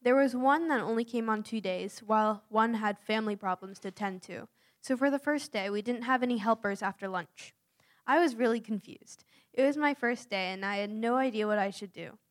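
A young woman from the United States reading a prepared personal account aloud in an earnest, steady way. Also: on the high side, normal speed. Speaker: youth mission volunteer.

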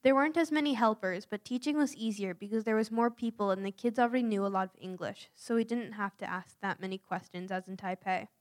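A young woman from the United States reading a prepared personal account aloud in an earnest, steady way. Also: medium pitch, fast talker, hardly any pauses. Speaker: youth mission volunteer.

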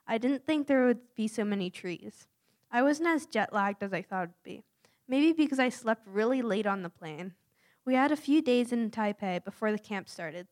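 A young woman from the United States reading a prepared personal account aloud in an earnest, steady way. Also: medium pitch. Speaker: youth mission volunteer.

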